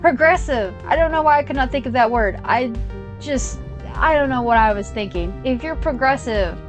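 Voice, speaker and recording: A woman's voice: high voice, lively intonation, moderate pace, highs cut off. A young woman chatting casually while playing a video game, rambling with lots of fillers and side tangents. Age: young adult. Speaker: gaming channel host. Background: game music.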